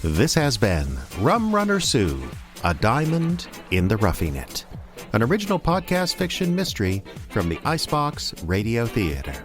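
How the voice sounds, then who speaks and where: A man's voice: mid-range voice, huge pitch swings, normal speed, music in the background. A man reading scripted closing credits as the announcer of an audio drama.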